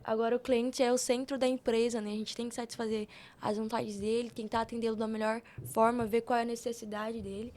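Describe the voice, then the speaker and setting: A woman's voice: fairly high pitch, a little mellow. A young Brazilian woman telling personal stories casually as a podcast guest, slangy and often retelling conversations as dialogue. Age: young adult.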